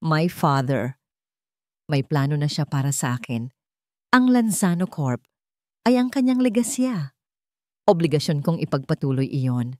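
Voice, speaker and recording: A woman voicing a wounded, wistful young businessman in a storybook drama, speaking softly and confidingly. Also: low-pitched, big pitch swings, unhurried, long pauses, no room sound, clean studio sound. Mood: bitter, resigned. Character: wealthy young businessman, wounded, wistful, bitter about duty.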